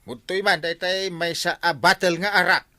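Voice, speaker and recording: An actor playing an excitable, hungry uncle in an Ilocano radio comedy drama, with an Ilocano accent. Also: male voice, sits high, moderate pace. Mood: exclaiming. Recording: music under the dialogue.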